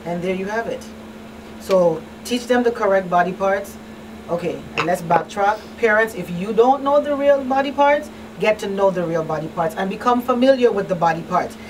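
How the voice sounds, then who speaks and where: A woman's voice: mid-range voice, normal speed. A woman hosting a talk show in a conversational, emphatic and plain-spoken way, repeating key phrases. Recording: distant mic, faint background noise.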